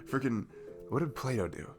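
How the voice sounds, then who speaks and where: A man's voice: medium pitch, animated, takes it slowly, over music. A man playing a sweet, cute barista in an intimate ASMR roleplay, chatting in a rambling, self-deprecating and hesitant way. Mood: playful, self-mocking. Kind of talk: intimate ASMR roleplay, soft improvised-sounding chat.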